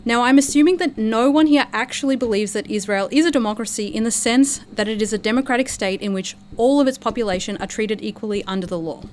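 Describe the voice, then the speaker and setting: A woman's voice: on the high side, fast, hardly any pauses, a little mellow, slight background noise. Woman chairing a forum. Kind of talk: read speech and announcements. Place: lecture room at a public forum.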